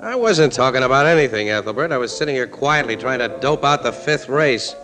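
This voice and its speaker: An actor playing a wry, brooding newspaper crime photographer with clipped, slangy talk in a 1940s radio drama. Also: male voice, medium pitch, lively intonation, a little fast, close to an everyday voice. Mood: dry, irritated. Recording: old radio recording, organ music.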